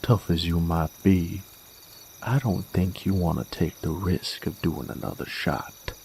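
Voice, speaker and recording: A man playing a sardonic, menacing werewolf bounty hunter in a Western audio drama, with a folksy American Western drawl and a gravelly, unhurried delivery. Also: low-pitched, steady tone, a little mellow, slight background noise. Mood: quietly threatening. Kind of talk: Western audio roleplay, intimate dramatic monologue. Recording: faint outdoor night ambience with crickets. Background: crickets and insects.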